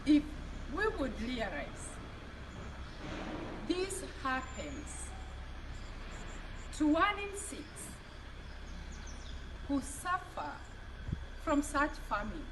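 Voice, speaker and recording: Very slow, high, swooping woman reciting poetry. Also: big pitch swings. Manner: dramatic, measured short phrases. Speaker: poet reciting. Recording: noisy replayed video audio.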